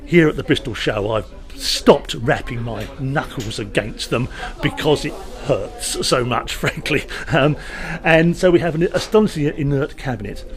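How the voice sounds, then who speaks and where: A man's voice: medium pitch, lively intonation, normal speed. A man speaking as a company representative, informally explaining a product at a trade show with emphatic salesman's patter and wry asides.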